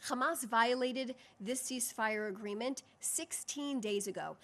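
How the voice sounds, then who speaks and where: A woman speaking as a government spokesperson at a formal press briefing, firm and emphatic, with deliberate phrasing. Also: on the high side, fairly bright tone. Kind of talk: formal press briefing, prepared statement and answers to questions.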